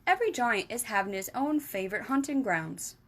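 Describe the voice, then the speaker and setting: A woman's voice: animated, brisk, a bit bright. A woman reading aloud and voicing a big, kindly giant who mangles his grammar and invents words. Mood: explaining. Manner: jumbled grammar, made-up words, booming. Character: big friendly giant, kindly, muddled speech.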